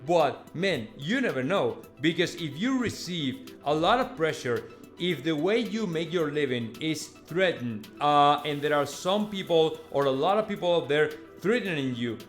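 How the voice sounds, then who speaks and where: A man talks casually to his audience as a football host. His delivery is conversational and emphatic, with repeated phrases and checking tags at the ends of thoughts. Light music plays underneath. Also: on the high side. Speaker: football vlogger and fan channel host. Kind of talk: casual news commentary to viewers.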